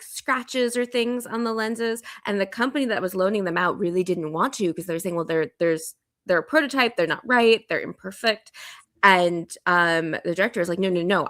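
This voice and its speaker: A woman's voice: fairly low pitch, a bit bright. A woman chatting casually as a guest on a podcast, talking like a filmmaker about her craft. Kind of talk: casual chat.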